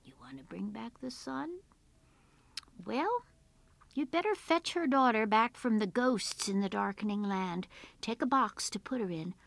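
Woman as a hushed, creaky wise little man. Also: fairly high pitch, lively intonation, no echo, full character voice. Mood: grave advising. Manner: hushed, deliberate, knowing. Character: wise little men, calm magical male advisers.

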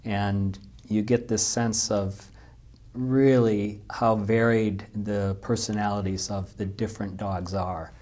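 A woman interviewing a fellow dog kennel owner, speaking in a relaxed, reflective way. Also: a bass voice, takes it slowly. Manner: reflective, lots of backchannel. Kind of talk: casual interview.

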